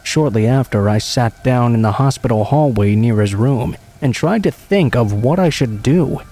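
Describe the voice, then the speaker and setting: A man calmly narrating true horror stories in an even, scripted read. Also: mid-range voice, normal speed.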